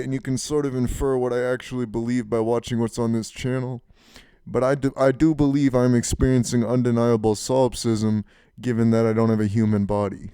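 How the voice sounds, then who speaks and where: Male, 20s, deep and mellow, casual confessional vlog delivery, frank and rambling, dry close sound. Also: clear, resonant tone, no room sound. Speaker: channel host. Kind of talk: rambling personal monologue.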